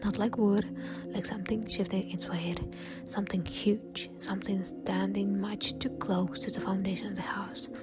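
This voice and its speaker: A woman narrating a horror story in a breathy, unvoiced whisper. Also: mid-range voice, level tone, normal speed. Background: soft music.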